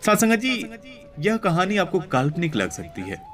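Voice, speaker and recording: A man narrating a devotional moral story in an expressive, instructive storytelling style, addressing listeners directly. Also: sits high, normal speed. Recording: clear background music under voice. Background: music.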